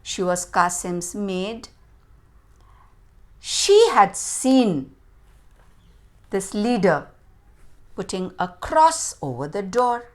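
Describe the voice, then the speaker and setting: A woman storyteller narrating for children with dramatic, deliberate emphasis and questions to her listeners. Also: mid-range voice, big pitch swings.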